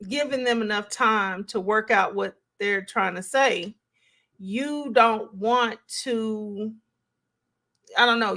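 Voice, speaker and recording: A woman's voice: fairly high pitch, a bit bright. A woman hosting a live stream, giving casual, conversational reaction commentary as she thinks aloud and responds to chat.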